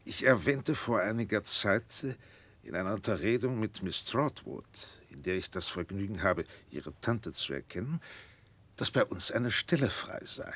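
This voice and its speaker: An actor playing a stiff, courteous, evasive lawyer in a 1960s radio drama, speaking formally and pompously in drawn-out phrases. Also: male voice, on the low side, lively intonation, a bit bright, slightly rough. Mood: ceremonious, courteous.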